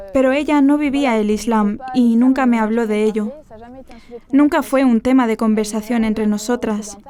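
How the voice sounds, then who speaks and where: A woman in her twenties from France calmly recounting her personal story in an interview, reflective and earnest. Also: on the high side. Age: 20s. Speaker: interviewee, graduate student. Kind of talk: personal testimony.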